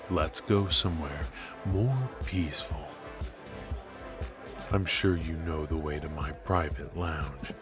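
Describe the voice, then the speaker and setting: Very deep, slow mafia boss, played by a man. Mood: quietly threatening. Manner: measured, mock-courteous, quietly threatening. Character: menacing, suave mafia boss, calm and controlling. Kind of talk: sci-fi noir ASMR roleplay. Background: faint music.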